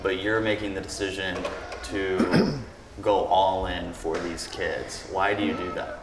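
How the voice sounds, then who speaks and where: A man's voice: low-pitched, little pitch movement, measured pace, echoey room, very noisy. A male host giving earnest, reflective documentary narration and asking interview questions.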